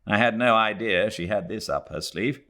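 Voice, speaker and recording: A man's voice: fairly low pitch, moderate pace, sharp tone. Man doing a brusque, exasperated young Englishman. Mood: brusque impatience. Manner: clipped and brusque.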